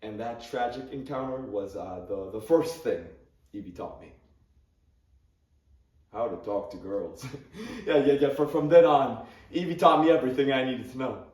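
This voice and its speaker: A jittery male English teacher, acted out by a young man, who trips over his own words, repeating and stammering. The sound is roomy and distant, as if caught by a camera at the back of the room. His voice sits at an ordinary middle pitch. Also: expressive, normal speed, long pauses, darkish tone, lightly acted. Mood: tender. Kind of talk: competitive dramatic interpretation monologue.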